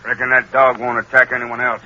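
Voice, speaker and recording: A man playing a steady, matter-of-fact country sheriff in an old-time radio drama, folksy and measured. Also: mid-range voice, highs cut off, lightly acted. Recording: faintly noisy vintage radio recording.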